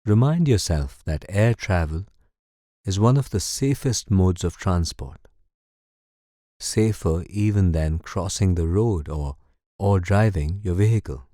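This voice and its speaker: A man leading a guided meditation in a calm, soothing, measured way. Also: deep voice, no echo, studio quality. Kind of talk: slow guided meditation narration.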